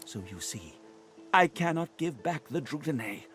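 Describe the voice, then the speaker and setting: A man voicing a desperate, groggy lizard dreamer in a video game, pleading in halting, broken phrases. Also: on the high side, very animated, a bit bright, soft background music. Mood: earnest, urgent. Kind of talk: dramatic video game voice acting.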